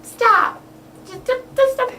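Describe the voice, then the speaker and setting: Young girl doing a very high, whiny girl puppet. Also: slow pace. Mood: whiny, indignant. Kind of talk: improvised amateur puppet show. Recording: faintly noisy amateur home recording.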